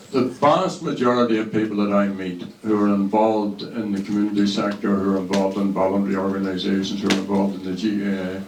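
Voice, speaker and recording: A man's voice: lowish voice, a little hiss or hum. A man chairing and speaking at a public meeting, plain-spoken and earnest, recorded from far off in a large hall.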